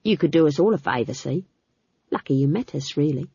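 A woman voicing a leering, coarse male dungeon guard who speaks in rough dialect. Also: a little high, lively intonation, brisk, mellow, no room sound, highs cut off, full character voice. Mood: leering, menacing. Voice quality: drawling, menacing, rough dialect.

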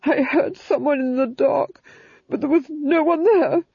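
A woman's voice: high-pitched, unhurried, no echo, muffled recording, voice pushed up. A woman voicing a nervous, tyrannical male Duke, agitated and strained, in an audiobook. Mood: panicked fury. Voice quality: strained, husky. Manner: agitated, hissing and screaming. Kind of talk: audiobook character voice.

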